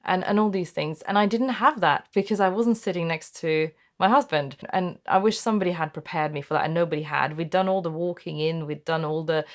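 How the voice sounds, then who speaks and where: A woman's voice: lowish voice, a little fast, hardly any pauses. A woman from Norway, a native Norwegian speaker, talking thoughtfully and personally in a conversation. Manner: thoughtful, personal, frequent self-corrections. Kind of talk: conversational discussion.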